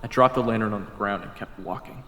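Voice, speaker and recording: A man's voice: lowish voice, fast, fairly bright tone, slight background noise. A man giving dramatic first-person scripted narration for an audio story, as its narrator. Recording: echoey long reverb, music behind. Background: background music.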